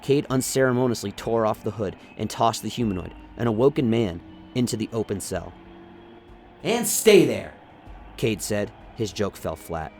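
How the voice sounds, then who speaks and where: A man's voice: on the low side, normal speed, darkish tone. A man reading dramatic scripted narration for a sci-fi radio play, as the storyteller.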